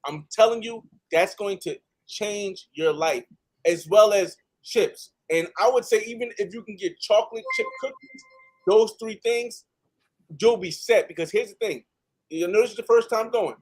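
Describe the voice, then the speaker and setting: Male panelist from the United States, high voice, emphatic casual talk with repeated phrases for stress. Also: measured pace, poor audio.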